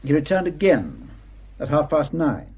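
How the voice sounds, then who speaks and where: An actor playing a probing, sardonic police superintendent who questions in a deliberate, insinuating way in a radio drama. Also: male voice, fairly high pitch, wildly swooping pitch, darkish tone, breathy edge, highs cut off, exaggerated voice. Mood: probing, insistent.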